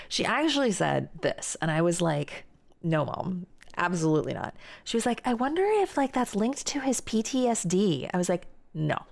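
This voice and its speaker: A woman's voice: lowish voice, vocal fry. A young woman streaming live, chatting casually and reading out and answering viewer messages. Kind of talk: casual chat reading chat aloud.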